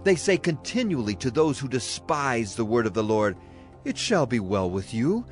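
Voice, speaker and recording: A man's voice: mid-range voice, big pitch swings, normal speed. A man gives a solemn, declamatory dramatized reading of scripture as a Bible narrator. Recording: clear music behind the voice. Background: music.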